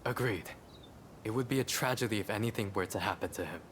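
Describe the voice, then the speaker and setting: An actor playing an opinionated, cautious samurai scholar in a video game cutscene, chatty and slightly formal. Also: male voice, on the low side, fast talker, a bit bright, a little hiss or hum, natural voice. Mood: thoughtful, concerned.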